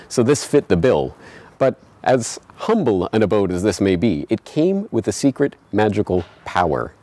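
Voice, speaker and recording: A Canadian-born man in his forties delivers a scripted monologue to camera for his own online report. His voice sits low, yet he swings it up and down with lively emphasis. He talks with animated conviction and expressive hand gestures. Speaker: independent online journalist and host. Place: small home studio indoors, also outdoors on the street. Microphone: studio microphone on a stand beside the camera.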